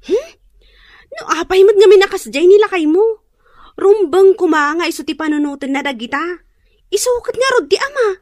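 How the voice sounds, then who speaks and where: A woman's voice: very high-pitched, lively intonation, moderate pace, long pauses, darkish tone, no echo, clean studio sound. A young woman playing a friendly, chatty neighbour in a radio drama, with a Filipino accent. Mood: sympathetic.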